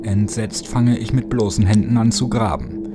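A man's voice: on the low side, fairly even intonation, normal speed. A man reading a first-person horror story as a dramatic, theatrical narrator. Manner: theatrical, drops into hushed whispers. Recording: music behind the voice. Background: music.

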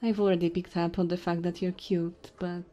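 A woman voicing a tipsy, flirty goblin innkeeper in an intimate ASMR roleplay, soft and teasing with hesitant pauses. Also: on the low side, flat delivery, highs cut off, faint music behind, natural voice. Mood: surprised, coy.

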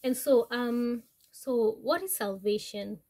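A young woman with a Kenyan accent giving an informal Bible lesson to camera, chatty and halting, often correcting herself. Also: a little high, darkish tone. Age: young adult. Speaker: Bible teaching vlogger. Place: small wood-panelled room indoors.

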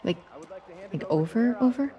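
A woman's voice: a little high, animated, measured pace, recorded from a distance, highs cut off. A woman playing a curious, friendly young woman in a video game. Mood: curious, soft. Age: young adult.

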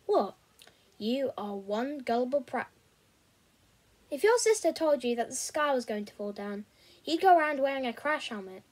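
A woman's voice: sits high, expressive, moderate pace, long pauses. A woman voicing a sly, streetwise schoolboy who talks in a dry, teasing, matter-of-fact way.

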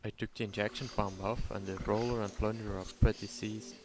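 A man with a fairly low voice talks through repair work in a casual way. His intonation stays very flat. There is clear background noise with mechanical clicking behind him. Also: a little fast. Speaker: host of a repair vlog. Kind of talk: casual workshop commentary.

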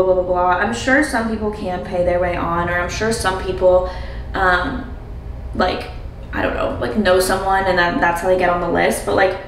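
Casting: American woman, twenties, mid-pitched, chatty casual vlogger delivery, recorded in a reverberant bathroom. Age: 20s. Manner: chatty, frequent "like". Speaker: lifestyle vlogger. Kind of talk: casual chat to camera. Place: home bathroom with hard surfaces. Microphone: camera a step or two away. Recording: roomy, distant mic, faint noise.

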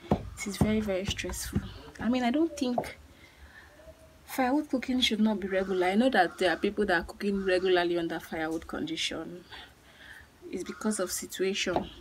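A young Nigerian woman talks casually to a camera held at arm's length in an open yard, repeating phrases for emphasis. Her voice is of ordinary pitch, with clear background noise from outdoors. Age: 20s. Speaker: vlogger. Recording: noisy outdoor phone-style recording with clicks.

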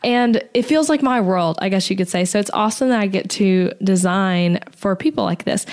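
A woman's voice: mid-range voice, a little mellow. Upbeat chatty woman podcaster. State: recovering voice after illness. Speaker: podcast host, web designer. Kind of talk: solo podcast talk.

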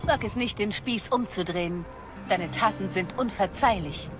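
A woman's voice: medium pitch, moderate pace, noisy background, close to an everyday voice. An actress playing a vengeful, determined young female bard wounded by betrayal in a dubbed video game drama. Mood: cold, hurt. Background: loud music.

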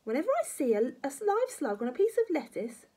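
A woman's voice: high voice, very animated, fairly quick, warm tone, voice pushed up. A woman voicing a tiny, wicked old grandmother from a children's storybook, bossy, snappy and scolding. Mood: gleefully nasty.

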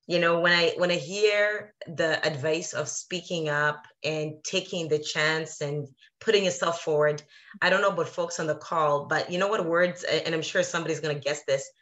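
A woman's voice: deep voice, moderate pace, fairly bright tone. A woman moderating a webinar panel, affirming speakers and steering the talk with questions. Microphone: video call.

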